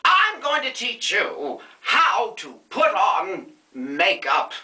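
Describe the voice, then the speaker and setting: Performer doing a vain old film-star woman, grand name-dropping diva, very bright tone, wildly swooping pitch, comedy sketch. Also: huge pitch swings, slow pace, echoey room, full character voice. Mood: campy. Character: vain aging female film star, flighty and bawdy. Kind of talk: comedy sketch, makeup tutorial parody.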